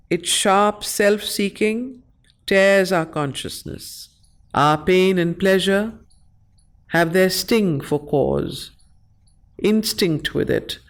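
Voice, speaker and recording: A woman with an Indian accent reciting verse and explaining it as a study circle facilitator, in a deliberate, emphatic, explanatory way. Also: fairly low pitch. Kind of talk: recitation of verse with teaching commentary.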